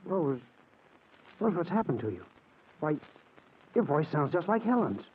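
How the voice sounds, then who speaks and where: An actor playing a worried, devoted husband in a 1940s radio mystery, earnest and stammering. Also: male voice, on the high side, sing-song, measured pace, a little hiss or hum. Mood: worried, tender. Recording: tinny old radio recording.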